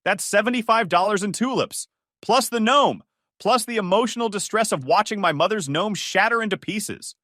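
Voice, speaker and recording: A woman's voice: moderate pace, a bit bright, dry recording, clean studio sound, natural voice. A man voicing a furious middle-aged woman neighbor who rants indignantly over her ruined garden. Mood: ranting. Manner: shrill, indignant ranting.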